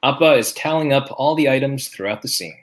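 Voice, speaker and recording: A man's voice: mid-range voice, animated, brisk, slight background noise. A man reading out stage directions at a live table read over a video call.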